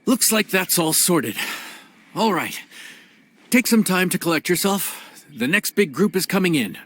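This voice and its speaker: A man's voice: sits high, animated, unhurried, a bit bright, slight background noise. A man playing an easygoing, practical inn cook in a video game. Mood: appreciative.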